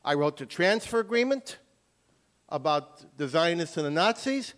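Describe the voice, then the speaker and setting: A man's voice: fairly high pitch, big pitch swings, moderate pace. A man giving an emphatic lecture as an author and taking audience questions. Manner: emphatic, forceful.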